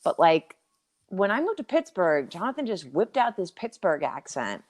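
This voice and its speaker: A woman's voice: on the low side, very animated, normal speed. A woman from Boston chatting casually as an interview guest, playful and teasing. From Boston, USA. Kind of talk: casual chat.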